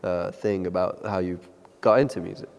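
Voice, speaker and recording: A man hosts a casual talk show, readily agreeing with his guests and steering the talk with quick asides. His voice sits somewhat low. A big studio room adds a long reverb. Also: steady tone, creaky, darkish tone. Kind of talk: casual interview chat.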